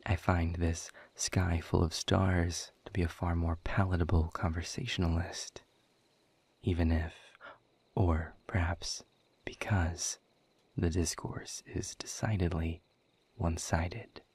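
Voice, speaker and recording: A world-weary demon in charge of a haven, acted out by a man in an audio roleplay. He speaks slowly and very low, drawling sarcasm in polished, wordy sentences. The voice is heavily husky and breathy. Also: level tone, darkish tone, dry recording, exaggerated voice. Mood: weary, disdainful. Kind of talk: scripted audio roleplay monologue addressed to the listener.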